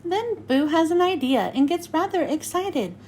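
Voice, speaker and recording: A woman reading a rhyming storybook to children in an expressive, sing-song way. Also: very high voice, moderate pace, a little hiss or hum. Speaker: children's read-aloud host. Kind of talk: scripted storybook narration for children.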